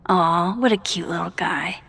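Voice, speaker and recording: A woman's voice: lowish voice, a little slow, creaky, fairly bright tone, husky, heavily acted. An actress playing a dry-witted, introspective young woman in a naturalistic video game, speaking in an understated, wry, hesitant way. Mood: musing, curious.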